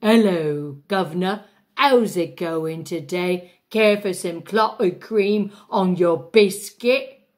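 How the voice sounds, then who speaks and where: A woman's voice: on the low side, slow pace, a little mellow. A woman putting on a hammy fake Cockney. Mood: chirpy.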